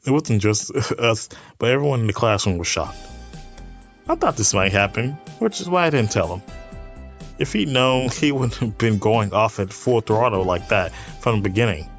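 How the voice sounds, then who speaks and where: A man doing a casual let's play, reading visual novel text aloud haltingly and adding amused asides. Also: on the low side, expressive. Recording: music playing behind the voice. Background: music.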